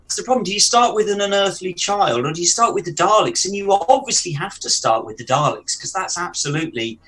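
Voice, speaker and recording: A man's voice: high-pitched, animated, fairly quick, sharp tone. A man co-hosting a podcast, chatting casually and hesitantly with stumbling restarts. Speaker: podcast co-host.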